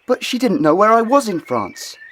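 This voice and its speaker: Animated, worried young man. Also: high voice, normal speed, slight background noise. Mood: concerned. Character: worried young man, devoted older brother. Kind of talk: children's audiobook dramatization.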